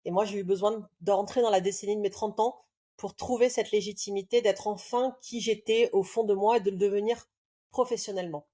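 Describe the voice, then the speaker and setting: Mellow-voiced woman telling her story. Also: medium pitch, fairly even intonation, fairly quick. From southern France. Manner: reflective, earnest, many hesitations. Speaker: love coach guest. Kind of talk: podcast interview, personal storytelling.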